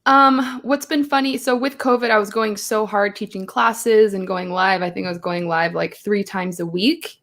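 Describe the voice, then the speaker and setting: A woman's voice: mid-range voice, normal speed, few pauses. Chatty woman from Belgium. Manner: chatty, animated storytelling with acted-out quotes. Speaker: guest, choreographer. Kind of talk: casual interview chat. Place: home, live stream.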